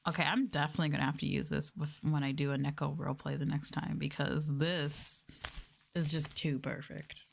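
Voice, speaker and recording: A woman speaking softly and intimately in a casual ASMR chat, at times dropping to a whisper. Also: low-pitched, little pitch movement, fairly quick, vocal fry, a little hiss or hum. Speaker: streamer, VTuber.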